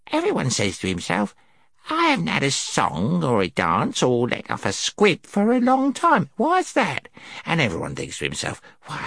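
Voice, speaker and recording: A man's voice: medium pitch, gravelly, fairly bright tone, breathy edge, dry recording, studio quality, pitched above the performer's own voice, heavily acted. A man voicing a small, timid, servile gnome in a storybook, with a squealing, whimpering, chattering delivery. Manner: servile, rambling, wide-eyed.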